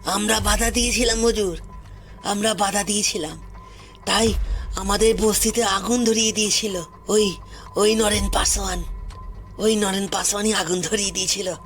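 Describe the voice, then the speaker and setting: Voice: medium pitch, fairly even intonation, normal speed, a bit bright, soft background music, natural voice. A performer voicing a poor, wronged forest tribesman in an audio story, pleading anxiously and repeating phrases insistently, with deference. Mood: earnest, aggrieved. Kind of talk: dramatised audio story acting.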